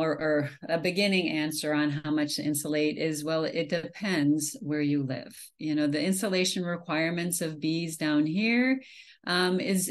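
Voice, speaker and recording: A woman from Minnesota giving a slide presentation on a video call, talking casually with many fillers and restarts. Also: sits low. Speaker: beekeeping presenter. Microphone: webcam on a video call.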